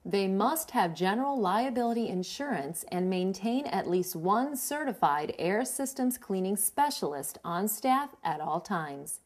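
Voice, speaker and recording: A woman in her thirties presenting a scripted informational video, speaking to camera with a clear, measured, earnest delivery on an indoor studio set. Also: mid-range voice, expressive.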